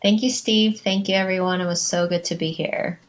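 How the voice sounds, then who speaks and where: A woman on a podcast panel, reflecting casually in a thoughtful, halting way with pauses mid-sentence. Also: medium pitch, steady tone.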